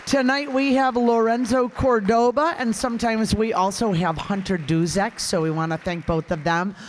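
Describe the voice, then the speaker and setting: A woman hosting a community show, speaking off the cuff as she gives closing announcements to an audience. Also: medium pitch. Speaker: host of community radio-play group. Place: town hall stage before an audience. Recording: echoey hall, long reverb.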